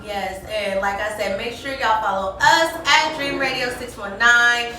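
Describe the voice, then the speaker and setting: A woman's voice: a little high, a little slow, pushed and bright. A woman hosting a live interview at a mixer, upbeat and affirming, often repeating yes and definitely. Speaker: host. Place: busy event venue. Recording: echoey room, distant mic, crowd chatter.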